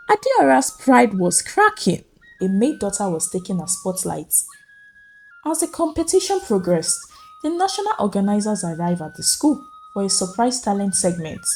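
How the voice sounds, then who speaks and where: Highly animated woman narrating a folktale. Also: moderate pace, low-quality recording. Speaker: storyteller, narrator. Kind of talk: dramatic scripted narration of a folktale. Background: faint music.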